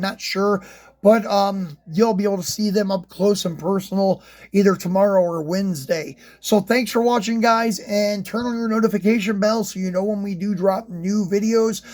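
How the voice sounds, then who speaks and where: A man hosting a die-cast car channel, chatting casually through a review, relaxed and rambling with plenty of ums, his voice slightly hoarse. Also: sits high. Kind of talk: casual unboxing and review talk.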